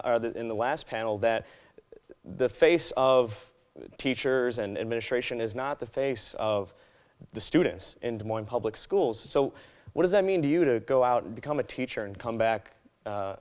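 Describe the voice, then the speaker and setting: A man's voice: mid-range voice, normal speed. A man moderating a town hall panel, asking conversational questions and managing the time.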